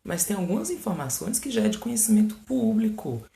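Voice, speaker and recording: A man with a Brazilian Portuguese accent talks straight to a phone camera, lively and exasperated, with mocking asides. His voice bounces around an echoey room. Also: sits high, fairly quick, vocal fry. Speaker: fan page host answering questions. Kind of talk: casual chat to camera. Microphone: phone recording.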